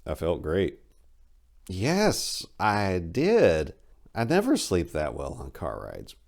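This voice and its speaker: A man's voice: low voice, huge pitch swings, slow pace, creaky, a little mellow, heavily acted. A man voicing a proud, eager academic in a storybook horror tale, speaking earnestly and politely. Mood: pleased.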